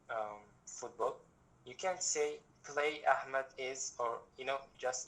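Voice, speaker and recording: A young man from Egypt teaches an online workshop over a video call. His voice is bright and forward, and he explains patiently, circling back and restarting as he works through his examples. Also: mid-range voice, rough recording. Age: young adult. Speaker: guest language teacher.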